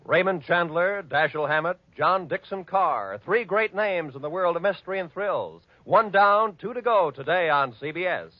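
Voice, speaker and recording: American man, radio announcer, formal scripted delivery, highish voice, 1940s style, dull old recording, music behind. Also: expressive, moderate pace, few pauses. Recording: muffled vintage radio broadcast, music behind.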